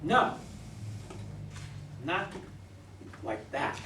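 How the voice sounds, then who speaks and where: A man acting a grieving, bitter widower and recovering drinker in a staged play reading, halting and terse. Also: on the high side, big pitch swings, full character voice. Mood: grief-stricken. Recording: roomy, distant mic, faint noise.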